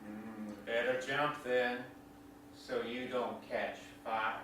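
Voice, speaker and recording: A man's voice: medium pitch, fairly even intonation, slow pace, a bit bright, far from the mic. A man playing a shabby, whiskey-soaked, suspicious neighbor in a staged play reading, terse, folksy and needling. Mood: needling, menacing. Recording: echoey room from afar, faint noise.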